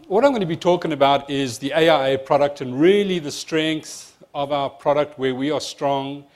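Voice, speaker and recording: A man from South Africa with a South African accent and a slight speech impediment, giving a fast-paced, joking insurance seminar presentation to a room of advisers. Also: a little high. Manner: fast-talking presenter, jokey asides. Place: seminar room with live audience.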